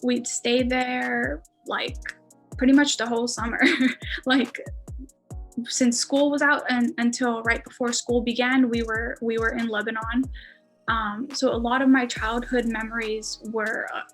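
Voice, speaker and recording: A woman's voice: on the high side, flat delivery, unhurried, soft background music. A woman in her twenties, a native Armenian speaker, casually telling her story as a podcast guest, with lots of "like"s and laughs. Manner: chatty, many "like"s, laughs often.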